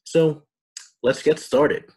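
A man in his thirties reading and presenting for children and families in an explanatory, deliberate way. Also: a little high, fairly even intonation, a little mellow. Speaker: storybook reader and presenter. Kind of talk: scripted narration for children and families.

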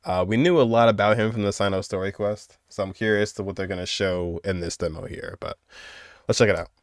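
A man's voice: lowish voice, steady tone, brisk, no room sound, clean studio sound. A young man giving casual, rambling reaction commentary as the host of a gaming video. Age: young adult. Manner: rambling, conversational. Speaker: host reacting to a game trailer.

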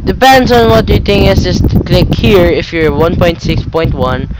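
A man's voice: high voice, a little slow, very noisy. A teenage boy with a cold who rambles and corrects himself while explaining steps on a computer, swearing casually in a tutorial. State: has a cold. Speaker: tutorial maker. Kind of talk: casual screen-recorded tutorial. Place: indoor room at a computer.

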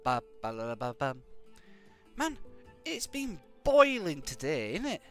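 Man, british accent, croaky voice, hay fever, rambling jokey game streamer, fairly high lively voice, faint music behind. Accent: British English. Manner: rambling and jokey, hums nonsense syllables. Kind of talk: live gameplay commentary chatting to viewers.

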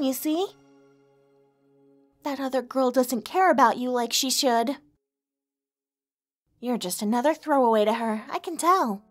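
A woman playing a sweet, clingy, possessive young neighbor in love, coyly addressing the listener in an ASMR roleplay. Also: high-pitched. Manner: coy, sugary, insinuating, hesitant.

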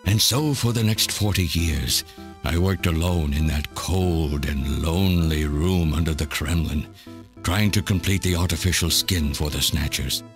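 An extremely low-voiced old mad scientist, bitter and self-justifying. He speaks in deliberate, theatrical monologue, like a lonely video game villain, with music playing underneath. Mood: lonely, obsessed. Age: older.

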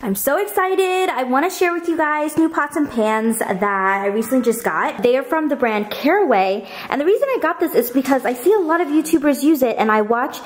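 A young American woman with a fairly high voice talks as a vlogger at home. She is chatty and informal, rambling casually as she addresses the camera. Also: lively intonation, a little fast, few pauses, a little hiss or hum. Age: young adult. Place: at a table in an open-plan house.

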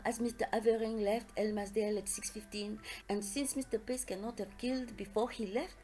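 A woman's voice: fairly high pitch, a little mellow, natural voice, poor audio. A woman voicing a confident, middle-aged male detective, precise and affectionate, in an audiobook story. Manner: precise, self-satisfied, foreign turns of phrase.

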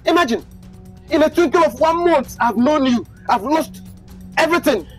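Man playing a bitter husband, lively voice. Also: very high voice, moderate pace, soft background music. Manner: bitter, shouting, rejecting. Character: ruined angry husband casting off his wife. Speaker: husband in a drama.

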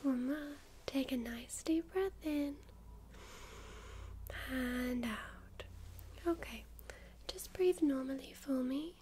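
A woman whispering softly in a gentle, reassuring ASMR medical roleplay, calmly talking a listener through a check-up step by step. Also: sits high, slow pace, pauses often, a little hiss or hum. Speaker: ASMR creator playing a first-aid medic.

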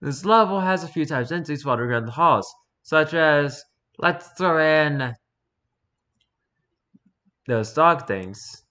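A man's voice: on the high side, lively intonation, measured pace, pauses often, bright, forceful tone. A man reading aloud casually with wry offhand asides, sometimes stumbling over the words as he goes. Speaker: streamer reading and reacting to wiki pages.

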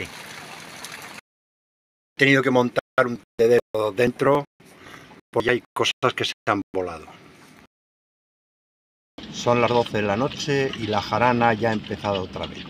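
A retired man in his sixties from Spain talks casually to his viewers while working and walking outdoors. His voice sits at a middle pitch. The camera audio is noisy and full of outdoor sound. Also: a little slow, long pauses, fairly bright tone. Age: 60s. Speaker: retired sailor vlogger. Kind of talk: casual vlog narration. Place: outdoors on a boat, beach and town.

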